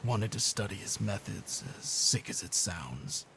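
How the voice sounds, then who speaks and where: Noir game cop,: middle-aged, bitter, weary, deep crackly rasp that shifts from murmur to growl. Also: male voice, sing-song, unhurried, a bit bright, full character voice. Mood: grim, guarded. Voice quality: gravelly, breathy low rasp. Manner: brooding, hard-boiled, terse, profane. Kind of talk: scripted noir game cutscene acting.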